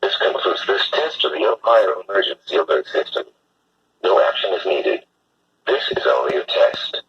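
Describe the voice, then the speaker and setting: A man's synthetic text-to-speech voice reading a scripted emergency test announcement in a flat, formal manner. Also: low voice, normal speed. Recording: muffled, noisy weather radio broadcast.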